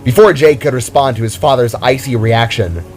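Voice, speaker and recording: Low-toned man narrating a story. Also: normal speed, darkish tone, faint music behind. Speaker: author narrating his own story. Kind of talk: dramatic scripted narration.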